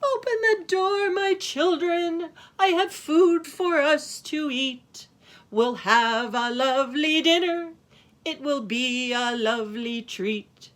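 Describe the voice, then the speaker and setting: A gentle storybook mother goat, played by a woman, with a sweet, high voice. The phrases are singsong and animated. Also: slow pace, exaggerated voice.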